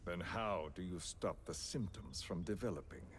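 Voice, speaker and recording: An actor playing a menacing, philosophical villain in a video game, speaking deliberately and with theatrical condescension. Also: male voice, low-pitched, a little hiss or hum, close to an everyday voice. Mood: smug, persuasive. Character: menacing, philosophical male villain coercing a captive. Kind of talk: dramatic video game voice acting.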